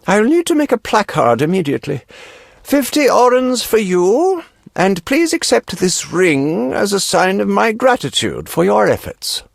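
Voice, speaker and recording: An actor playing a fussy, pompous, wealthy antiquities collector with a florid, genteel, pedantic manner, in a video game. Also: male voice, high-pitched, sing-song, unhurried, creaky, no room sound, studio quality, full character voice. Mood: pedantic, eager.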